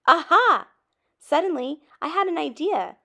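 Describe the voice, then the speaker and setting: A woman's voice: high-pitched, expressive, a little slow, creaky, bright, forceful tone, pitched above the performer's own voice, full character voice. A woman voicing an eager, anxious young cookie schoolchild in a storybook, with emphatic exclamations. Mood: excited.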